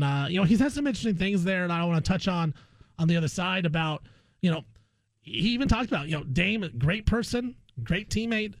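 A man's voice: a little high, darkish tone, no echo. A man hosting a sports radio show, giving his opinions in casual conversational talk.

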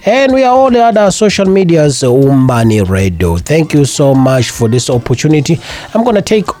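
Lively radio host man. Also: a little high, fairly quick. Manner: lively, enthusiastic. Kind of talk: casual radio chat. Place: radio studio.

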